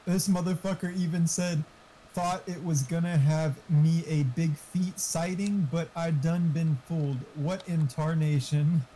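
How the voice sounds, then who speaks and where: A man's voice: fairly high pitch, steady tone, unhurried, mellow, low-quality recording. A man chatting casually with a gaming friend over voice chat, joking crudely and swearing freely. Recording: faint background noise.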